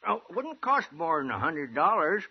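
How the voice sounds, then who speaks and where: A muffled, hissy old radio recording carries an eager old American gold prospector. The voice is high for a man and crackles with gravel. He rambles in a folksy frontier drawl, toothless and cackling, in the manner of a 1950s radio western. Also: big pitch swings, moderate pace, full character voice. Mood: coaxing. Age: older.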